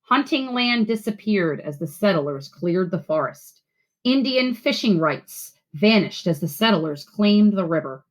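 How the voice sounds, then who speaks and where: A woman reading a children's picture book aloud like a teacher, with clear phrasing and expressive, instructive delivery. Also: medium pitch, unhurried, poor audio. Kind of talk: storybook read-aloud for children.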